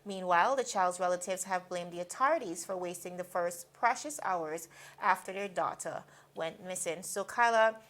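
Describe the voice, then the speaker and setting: A woman's voice: fairly low pitch, normal speed, a bit bright. A woman from Guyana anchoring a daily news roundup, reading stories and then discussing them earnestly with a co-host. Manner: earnest, with frequent fillers.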